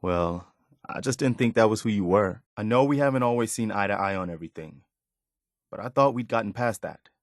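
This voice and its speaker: An actor voicing an earnest, principled, loyal but weary militia officer in a video game, speaking in a sincere, measured, plain-spoken way. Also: male voice, on the low side, no echo, studio quality, natural voice. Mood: hurt, disappointed. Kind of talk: video game character dialogue.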